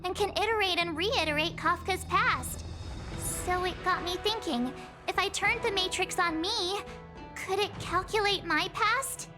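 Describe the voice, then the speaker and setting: Spirited game heroine: girlish, very high voice, bubbly and optimistic, music clearly behind. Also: unhurried. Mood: eager. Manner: bubbly, informal, emphatic. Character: spirited, cheerful young girl adventurer, fiercely optimistic. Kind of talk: English video game voice acting.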